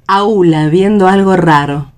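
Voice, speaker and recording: A woman's voice: fairly low pitch, unhurried. A woman reading out stage directions as scripted narration for a radio play.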